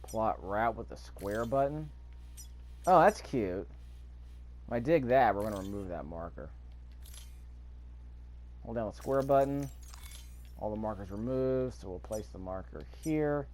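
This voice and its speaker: A man's voice: on the low side, expressive, a little slow, long pauses, a little mellow. A man giving casual, offhand let's play commentary, muttering to himself as he plays. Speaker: video game player recording commentary. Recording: game sound under the voice. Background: video game ambience.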